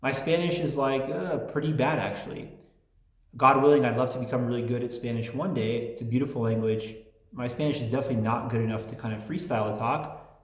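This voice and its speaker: A man's voice: medium pitch, fairly even intonation, fairly quick, echoey room. A man hosting his own channel, reading listener letters aloud and answering them in a rambling, chatty way with many restarts. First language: English and Polish. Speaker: channel host answering fan mail.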